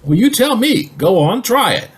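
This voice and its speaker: Male actor as needling workmate, excitable, hugely varied pitch, raised voice register, radio comedy. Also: moderate pace. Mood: exasperated. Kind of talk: old-time radio comedy acting.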